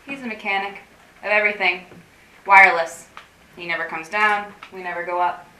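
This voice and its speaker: An actress in a staged reading playing an anxious, wry, guarded support group organiser, rambling and awkwardly correcting herself. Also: female voice, mid-range voice, fairly even intonation, normal speed, bright, forceful tone, natural voice. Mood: wistful. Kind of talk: staged play reading, naturalistic dialogue. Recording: roomy, distant mic with faint noise.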